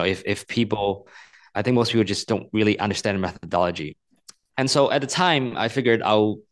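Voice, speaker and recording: A man in his thirties from Hong Kong, an author answering interview questions in an earnest, explanatory way, often repeating words as he thinks. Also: low voice. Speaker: author and guest.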